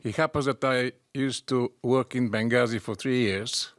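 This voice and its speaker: A man's voice: mid-range voice, fairly even intonation, normal speed, dry recording. Guy in his 60s, lots of uhs, matter-of-fact, dry close sound. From Bulgaria. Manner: hesitant, many fillers, matter-of-fact. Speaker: audience member.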